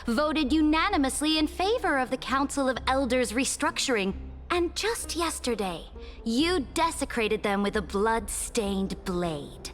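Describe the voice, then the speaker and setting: Cold female imperator, high pitch, haughty, formal, commanding, dramatic game acting, soft music behind. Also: heavily acted. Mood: scornful. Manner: formal, haughty, measured oratory. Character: imperious, cold, commanding female ruler addressing her council.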